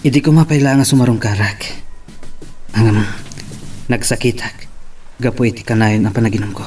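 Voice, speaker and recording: A man's voice: medium pitch, normal speed, darkish tone, natural voice. A man with an Ilocano accent acting a sorrowful young father who misses his child in a love drama. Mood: apologetic, yearning.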